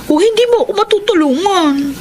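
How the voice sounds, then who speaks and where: Sassy, high-voiced flirt. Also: female voice, lively intonation, brisk, a little mellow, slight background noise. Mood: playful, pleading. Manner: sassy, forward. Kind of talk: Filipino radio drama acting.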